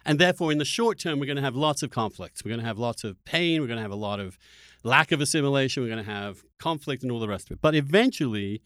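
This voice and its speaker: A man's voice: mid-range voice, expressive, fast talker, fairly bright tone, no room sound. Male podcast host, close dry sound. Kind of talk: conversational political discussion.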